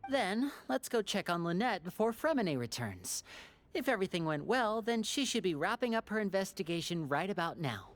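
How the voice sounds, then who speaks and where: An actor playing a composed, watchful young man in a video game, speaking politely and deliberately, with music behind. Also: high voice, very animated, a bit bright. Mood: courteous, earnest. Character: composed, courteous, watchful young man, protective older brother.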